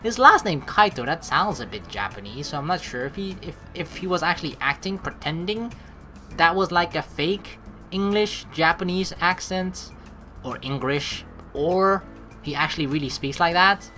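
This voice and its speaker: A young woman hosts a game playthrough in a low but very animated voice. She switches between reading narration and expressive, sweary commentary. Faint music runs underneath. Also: moderate pace. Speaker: let's play host.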